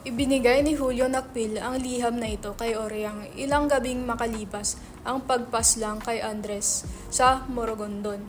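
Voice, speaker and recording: Girl doing excitable young writer guy in a play reading, high voice, theatrical n teasing, music behind. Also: normal speed, natural voice. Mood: impassioned romantic recitation. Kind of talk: dramatic reading of a stage play. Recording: background music under the voice.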